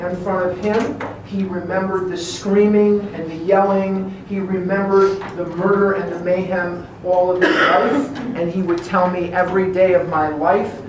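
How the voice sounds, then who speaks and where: A man with a Chicago American accent giving a personal testimony speech, emphatic and deliberate, repeating phrases for effect. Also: sits high. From Chicago, USA. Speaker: guest speaker at recovery meeting. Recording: very echoey room, distant mic, clear background noise.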